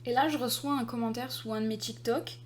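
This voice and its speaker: A woman's voice: fairly high pitch, a little fast. Exasperated young Frenchwoman chatting. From France. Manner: exasperated, lively storytelling with frequent fillers. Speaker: artist and video creator. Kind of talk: casual chat to the camera.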